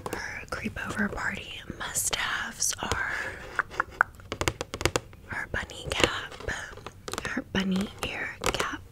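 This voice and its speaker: A woman whispers through an ASMR video at a very unhurried pace. She lingers on words and phrases, often repeating them soothingly as she hosts. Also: mid-range voice. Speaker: ASMR video creator and channel host.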